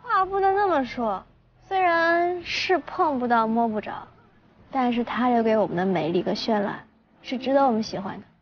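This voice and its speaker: Young female lead played by an actress: high voice, eager and a bit awkward, romantic TV drama, slightly muffled sound. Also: expressive, moderate pace, slight background noise, close to an everyday voice. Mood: awkward, gentle. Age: young adult. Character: bubbly, earnest, slightly awkward young professional woman. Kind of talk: modern romantic drama dialogue.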